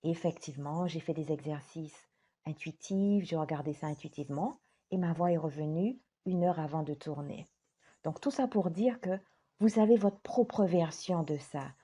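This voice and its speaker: A woman life coach speaking straight to her viewers in a gentle, earnest way, stressing her words slowly. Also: low-pitched, husky. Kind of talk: direct-to-camera talk.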